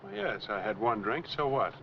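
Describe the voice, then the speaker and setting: A man's voice: medium pitch, very animated, normal speed, creaky, a little hiss or hum. A man playing a cocky, defensive truck driver in a 1940s film noir. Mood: cocky, indignant. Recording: muffled 1940s film soundtrack, music behind.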